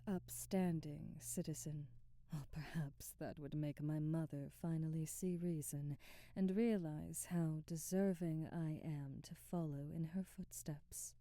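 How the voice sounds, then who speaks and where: Woman doing a haughty vampire lady for asmr roleplay, low soft whispery voice, formal wording, super close dry sound. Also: no room sound. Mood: proud, resentful. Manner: measured, formal, old-fashioned diction. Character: proud, ambitious female vampire aristocrat, remorseful, domineering captor. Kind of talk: intimate ASMR roleplay, scripted monologue.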